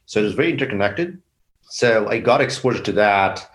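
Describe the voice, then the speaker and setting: A man's voice: fairly low pitch, expressive, brisk, a bit bright. A man from Bangladesh, a company founder, answering interview questions in a reflective way and explaining his ideas step by step. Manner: reflective, explains in steps, repeats words. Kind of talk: interview conversation.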